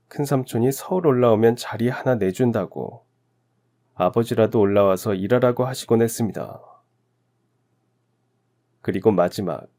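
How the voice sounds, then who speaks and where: A man from Daegu narrating his own life story in a calm, reflective, confessional first-person style. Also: lowish voice. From Daegu, South Korea.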